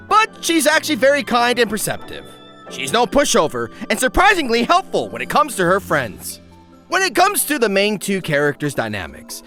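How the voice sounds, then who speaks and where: A man's voice: extremely high pitch, pushed and bright. A man narrating a scripted comedic anime review in a wry, exaggerated, joking way. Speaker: video essay narrator.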